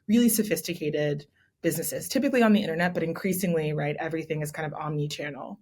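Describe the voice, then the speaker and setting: A woman's voice: low-pitched, quick pace, a little mellow, husky. A young adult woman, raised in Texas, giving articulate, explanatory interview answers as a guest, often adding "right?". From born in Nigeria, raised in Texas. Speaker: guest, commissioning editor.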